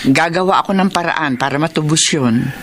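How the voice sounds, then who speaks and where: A woman's voice: low-pitched, fairly quick, a bit bright, slight background noise. An actress playing a worried, self-sacrificing wife of a poor fisherman in a radio drama, emotional and pleading. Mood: anxious, resolute. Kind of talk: Tagalog radio drama acting.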